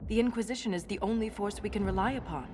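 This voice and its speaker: An actress playing an earnest, formal dwarven scholar in a video game, speaking precisely and with measured care. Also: female voice, medium pitch, over music, natural voice. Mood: grave, concerned. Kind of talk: video game cutscene acting.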